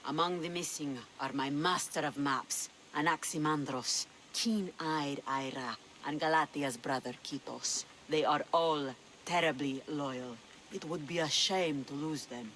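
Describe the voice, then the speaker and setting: A woman's voice: low voice, measured pace, fairly bright tone, a little hiss or hum, poor audio. A woman playing a sly, commanding pirate leader in a video game, with theatrical delivery. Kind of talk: video game cutscene acting.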